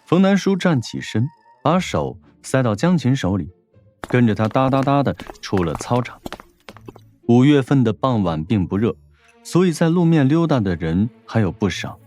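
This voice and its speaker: Lively male audiobook narrator. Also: medium pitch, moderate pace, faint music behind.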